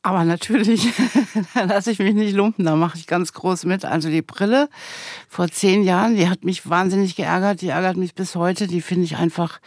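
A woman's voice: lowish voice, a little mellow, no room sound, clean studio sound. A woman in her sixties, a journalist and author, speaking bluntly with self-mocking humour. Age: 60s. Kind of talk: candid personal talk.

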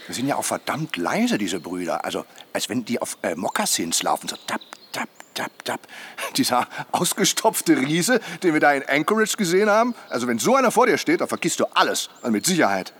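An actor playing a boisterous, jovial middle-aged husband in a naturalistic radio drama, garrulous and bantering. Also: male voice, mid-range voice, very animated, fairly quick, a bit bright, husky. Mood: jovial, rambling.

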